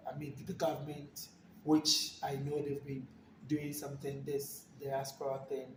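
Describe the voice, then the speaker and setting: A Ghanaian man talking casually and thoughtfully, pausing and repeating words, recorded on a phone from a distance in a room. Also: mid-range voice, completely flat delivery, echoey room, noisy background. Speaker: guest. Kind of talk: casual conversation.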